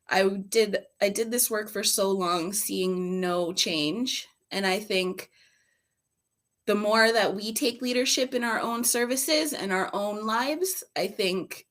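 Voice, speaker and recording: Female panelist, mid-pitched, informal and reflective, halting personal testimony. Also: a bit bright. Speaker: panelist and drug-user advocate.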